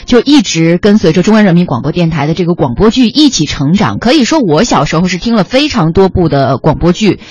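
A woman's voice: on the low side, big pitch swings, brisk, few pauses, darkish tone, husky, no room sound, highs cut off. A woman co-hosting a radio programme in mainland standard Mandarin, conversational, reflective and agreeable. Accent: mainland Chinese standard Mandarin. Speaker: radio co-host.

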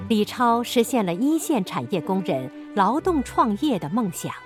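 A woman reading scripted narration and credits for a radio drama in a formal, expressive way. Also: medium pitch, moderate pace. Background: music.

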